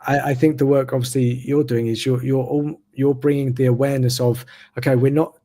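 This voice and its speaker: Man in his 30s, gravel in the voice, steady slow reflective talker, hosting an interview. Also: medium pitch. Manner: slow, even, reflective delivery. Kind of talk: casual interview conversation. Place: remote video call. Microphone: video call mic.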